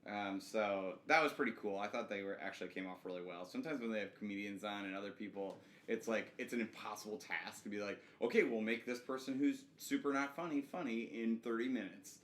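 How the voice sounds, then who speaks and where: A man's voice: fairly low pitch, fairly quick, hardly any pauses, fairly bright tone. A man chatting casually and informally as a podcast co-host, with slangy, jokey phrasing. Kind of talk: casual group chat recapping a reality show. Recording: roomy echo, faint background noise.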